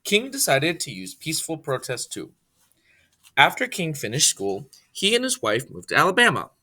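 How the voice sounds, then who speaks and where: A man reading a children's biography aloud from a script, phrase by phrase, in a deliberate way. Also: fairly high pitch, wildly swooping pitch, bright, forceful tone. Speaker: reader, storyteller.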